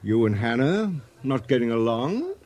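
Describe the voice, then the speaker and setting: An actor with a British accent playing a sensible, orderly professor and blunt friend in a radio drama, speaking in a brisk, matter-of-fact way. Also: male voice, medium pitch, very animated, a little mellow. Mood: firm, concerned. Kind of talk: radio drama dialogue acting.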